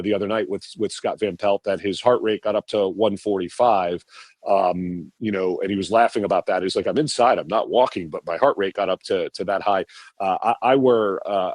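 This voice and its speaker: A middle-aged man with a low voice explains the work behind a live TV show. He talks in loose, rambling runs, doubling back on his words. The sound is dry and close. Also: no echo. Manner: rambling with many restarts and repeated words. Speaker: TV producer guest. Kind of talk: podcast interview answers.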